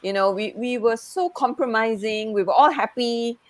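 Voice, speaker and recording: A woman's voice: a little high, moderate pace, no room sound. A woman who grew up in Malaysia, a coach talking in a casual, reflective way and often saying "you know". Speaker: NLP coach. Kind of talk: casual conversation.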